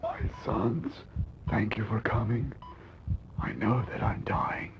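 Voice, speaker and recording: An actor playing a dying old emperor, weary and grave, with a weak, breathy voice and halting, solemn delivery. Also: male voice, lowish voice, big pitch swings, full character voice. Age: older. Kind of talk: dramatic game cut-scene acting. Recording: muffled old game audio with hiss.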